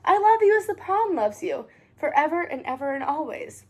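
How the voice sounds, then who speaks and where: A woman's voice: sits high, animated, normal speed, a little mellow. Woman as a soothing, loving mother animal. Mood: tender, reassuring. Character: gentle, loving mother animals comforting their young.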